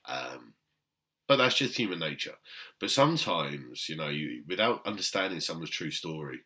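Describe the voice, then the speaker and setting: A British man, a personal trainer with a very deep voice, talking emphatically to camera with frequent "okay?" asides and fillers. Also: sing-song, moderate pace, pushed and bright. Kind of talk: unscripted talk to camera.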